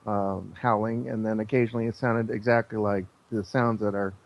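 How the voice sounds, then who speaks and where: A man's voice: lowish voice, steady tone, a little fast, dull audio. A man talking casually as a show guest, explaining things in a rambling, earnest, insistent way.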